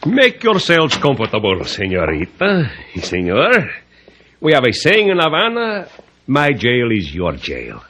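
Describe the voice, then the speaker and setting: An actor playing a courtly, mock-sorrowful Cuban police official in an old-time radio drama, florid and formal, with a Cuban Spanish accent. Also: male voice, medium pitch, wildly swooping pitch, measured pace, pushed and bright, slight background noise, full character voice. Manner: florid, overly polite, formal.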